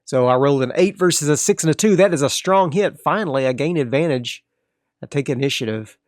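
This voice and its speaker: A man's voice: a little high, lively intonation, a little fast, dry recording, studio quality. A man hosts a solo tabletop role-playing game, casually thinking aloud as he narrates moves and reads out rules.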